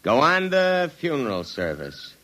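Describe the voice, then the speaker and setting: An American actor playing a surly, sarcastic hearse driver in a 1950s radio drama, gruff and sneering. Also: male voice, mid-range voice, huge pitch swings, unhurried, a bit bright. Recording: noisy 1950s radio recording.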